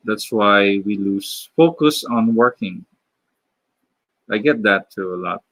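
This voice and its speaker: Slow, low-voiced Filipino man chatting. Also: expressive, a bit bright, no room sound. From Philippines. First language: Filipino (Tagalog). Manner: halting, many fillers and restarts. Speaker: live-streaming artist. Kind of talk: casual live-stream chat while drawing. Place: home.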